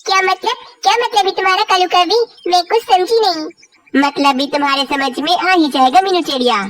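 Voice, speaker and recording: A small cartoon forest bird for a children's moral tale. The voice is extremely high and childlike, with simple, exaggerated storybook delivery. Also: female voice, normal speed. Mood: heated confrontation. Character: cartoon forest birds, scheming, envious and put-upon, squeaky and childlike.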